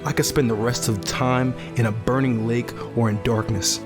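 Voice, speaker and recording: A man's voice: mid-range voice, steady tone, moderate pace, close to an everyday voice. A man playing a grieving, bitter teenage survivor in a post-apocalyptic audio drama, earnest and brooding. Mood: despairing, brooding. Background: music.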